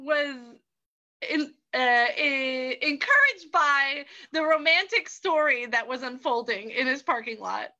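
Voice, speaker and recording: A woman's voice: sits high, animated, takes it slowly, sharp tone, dull audio. A woman narrating an improvised story with an amused storybook delivery.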